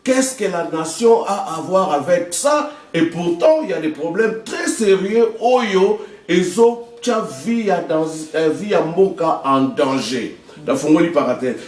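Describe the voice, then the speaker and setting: A man from Congo lays out his political views like a commentator, leaning on proverbs and speaking to the listener as "maman". His voice sits high for a man. The recording is roomy, and he sounds set back from the microphone. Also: animated, a little slow, a little mellow, distant mic. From Congo (DRC). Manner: explanatory, uses proverbs, addresses listener as maman. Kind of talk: political talk show commentary.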